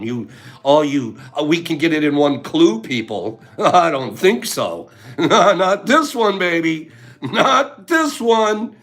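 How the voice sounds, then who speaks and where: A man's voice: high voice, fairly bright tone. A man hosting a casual livestream, chatting with viewers and running giveaways in a playful, teasing way. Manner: playful, teasing, talks straight to chat. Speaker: livestream host.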